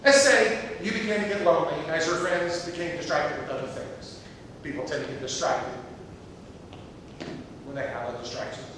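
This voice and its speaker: A man's voice: moderate pace, lightly acted. Distant, very reverberant stage recording: male actor as an eccentric, precise, pedantic stranger, earnest and theatrical. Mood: encouraging. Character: eccentric, chatty, pedantic but kindly stranger at a bus stop. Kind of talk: live stage comedy acting. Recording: distant, echoey theatre hall, noisy rumble.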